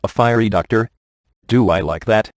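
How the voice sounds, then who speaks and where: A man playing a wisecracking, sardonic ghost hunter in a video game, with a deadpan, offhand delivery. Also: lowish voice, moderate pace, dry recording, lightly acted. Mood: smug. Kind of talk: video game voice acting, comic one-liners.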